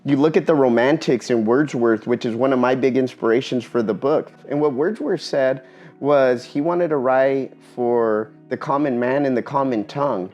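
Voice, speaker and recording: A man giving a personal talk in an earnest, reflective way, pausing often between phrases. Spanish is his first language. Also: medium pitch, darkish tone. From Eastern Washington, USA.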